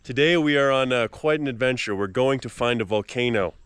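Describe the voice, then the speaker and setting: A man's voice: mid-range voice, a little fast, a bit bright. Upbeat Canadian man vlogging outdoors. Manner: upbeat, chatty, frequent "uh" fillers. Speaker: travel vlogger. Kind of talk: casual travel narration. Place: outdoors on a mountain dirt road.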